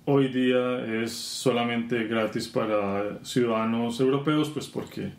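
A Colombian man guest talking casually about his work in software testing, relaxed with many fillers. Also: mid-range voice, measured pace. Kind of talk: casual interview. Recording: roomy conference venue.

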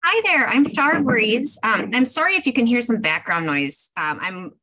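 A middle-aged woman, a co-op development specialist, speaking from home on a video call, apologetic and animated. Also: a little high, moderate pace, poor audio. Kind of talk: casual video call introduction. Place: home, indoors.

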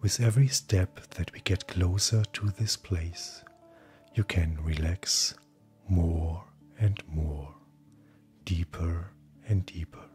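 Low, slow man guiding sleep hypnosis. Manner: calm, gentle, breathy, often near whisper. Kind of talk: guided hypnosis, soothing scripted narration. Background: soft background music.

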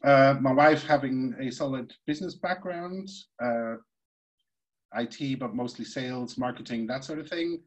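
A man from Switzerland, a podcast guest, telling the story of his career in a relaxed, rambling way. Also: medium pitch, moderate pace, highs cut off. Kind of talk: conversational storytelling.

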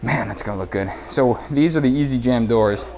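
A man's voice: mid-range voice, brisk, a little mellow, slight background noise. Man giving a casual building-site tour. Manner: casual, explanatory, pointing things out. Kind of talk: walkthrough explanation on a job site. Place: indoor renovation site, bare rooms. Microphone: head-mounted action camera.

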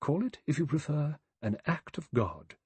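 A man's voice: high-pitched, big pitch swings, normal speed, darkish tone, breathy edge, dry recording, voice pushed up, heavily acted. A man voicing a stern, self-righteous, pious spinster in an audiobook, with hard, emphatic pronouncements. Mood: righteous conviction.

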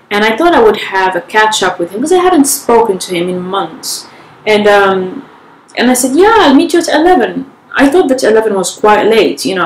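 Young woman, tired and stuffy-nosed, frank and unhurried, mid pitch, roomy living-room echo. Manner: frank, unhurried, with small restarts. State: nasal from a cold, tired. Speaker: vlogger. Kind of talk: casual advice talk to camera. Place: living room with sofa, indoors. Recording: echoey room.

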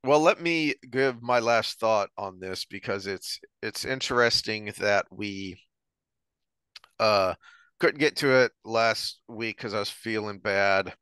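An American man co-hosting a podcast, discussing ideas earnestly in a deliberate, emphatic way. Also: on the low side, pushed and bright, clean tone, dry recording. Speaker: podcast co-host. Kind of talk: earnest discussion of politics and philosophy.

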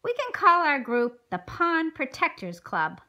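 Puppet show role: keen young schoolgirl played by a woman, high-pitched, very lively intonation, encouraging. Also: measured pace, slight background noise, exaggerated voice. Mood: eager. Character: eager, friendly fifth-grade girl in a puppet show. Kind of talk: scripted puppet show dialogue.

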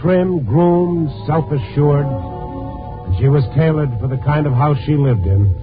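Hard-boiled reporter on old radio. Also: male voice, a little high, animated, slow pace, phone-quality sound, music in the background. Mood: wry, observant. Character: dogged, world-weary male newspaper reporter narrating his case. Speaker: lead and narrator. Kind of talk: 1950s radio acting, hard-boiled narration. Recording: band-limited vintage radio recording.

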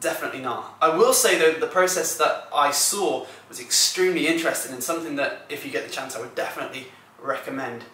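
A man's voice: on the high side, fast talker, few pauses, sharp tone, breathy edge. A young man talking casually to viewers in a travel vlog, filmed at arm's length on a camera mic. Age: young adult. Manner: chatty, upbeat, addresses viewers directly. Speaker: travel vlogger. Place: outdoors by a river and in a bedroom. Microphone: camera mic, selfie at arm's length.

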